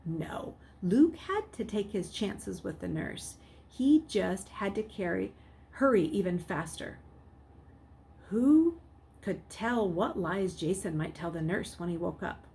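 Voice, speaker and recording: A woman narrating a novel aloud in an expressive, dramatic storybook style. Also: medium pitch, takes it slowly. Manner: dramatic, acts out the characters. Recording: clearly noisy background.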